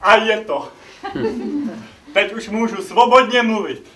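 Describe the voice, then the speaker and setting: Impudent, witty slave for stage comedy; forceful, highish voice, declaimed in an echoey theatre. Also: male voice, recorded from a distance. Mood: animated storytelling. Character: ugly, witty, impudent slave storyteller Aesop.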